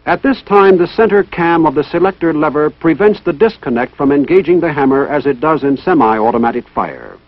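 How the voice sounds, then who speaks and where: A man's voice: a little high, expressive, a little fast, hardly any pauses, no room sound. Formal man narrating, dull vintage film sound. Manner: formal, methodical. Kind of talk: scripted instructional narration.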